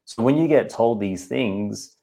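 A man's voice: mid-range voice, a little slow, creaky, dark tone. A man in his thirties with an Australian accent, talking candidly and chattily to his podcast listeners as host. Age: 30s. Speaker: podcast host and personal trainer. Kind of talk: casual podcast monologue.

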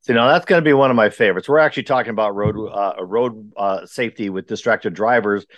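Lowish, dry-sounding male podcast host. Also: fast, dry recording. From Wisconsin. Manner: rambling, many ums and uhs, earnest. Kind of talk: casual podcast chat. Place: remote recording.

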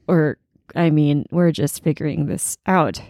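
A woman co-hosting a podcast in casual chat, playful and quick to laugh. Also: sits low, dark tone, no room sound, clean studio sound. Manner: chatty, laughs often, light and playful. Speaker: podcast co-host.

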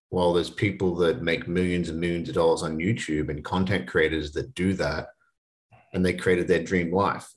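Casual low-voiced young man. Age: 20s. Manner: casual, earnest, conversational with restarts. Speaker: podcast host. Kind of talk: solo motivational podcast talk.

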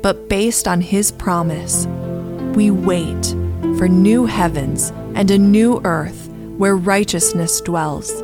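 Slow-paced woman reading scripture over music. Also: medium pitch. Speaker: scripture reader. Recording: soft new-age music underneath. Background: instrumental new-age music.

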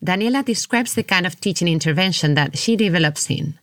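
A woman hosting an interview, reading out questions and narration in a measured, scripted way. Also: lowish voice, animated, a bit bright, dry recording, studio quality. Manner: measured, read-aloud delivery. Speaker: interviewer and host.

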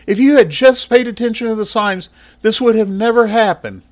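A man's voice: sits high, moderate pace, voice pushed up, lightly acted. A man voicing a sarcastic theme park nurse scolding an injured girl.

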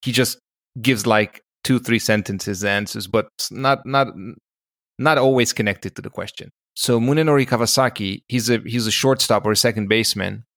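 A man's voice: lowish voice, moderate pace, a bit bright, no room sound, studio quality. A man speaking as a podcast co-host, telling stories in a casual, conversational way.

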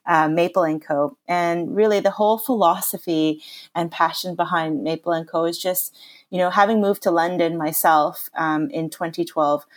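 A woman's voice: lowish voice, fairly even intonation, moderate pace. A woman in her thirties with a Canadian accent, a chef talking through her career in an upbeat, chatty way with many fillers. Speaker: chef and lecturer, podcast guest. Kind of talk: conversational podcast interview.

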